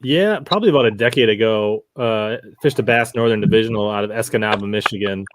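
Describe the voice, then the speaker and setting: A man's voice: fairly low pitch, quick pace, a little hiss or hum. A man telling fishing stories casually as an angler guest on a podcast.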